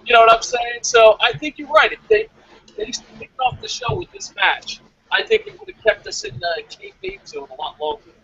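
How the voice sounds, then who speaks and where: A man giving excitable, casual fan commentary as co-host of a review show, rambling and repeating himself. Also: extremely high pitch, moderate pace, vocal fry, dry recording, muffled recording.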